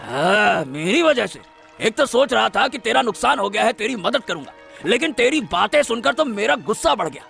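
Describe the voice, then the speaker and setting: A man voicing an arrogant, rich boat-owning male villain in a cartoon, with a gravelly, bullying voice. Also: high-pitched, sing-song, moderate pace, pushed and bright, faint music behind, full character voice. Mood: angry.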